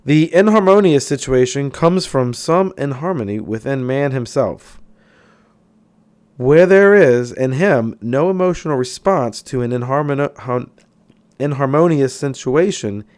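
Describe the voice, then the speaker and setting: Expressive man reading self-help aloud. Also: mid-range voice. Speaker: audiobook reader.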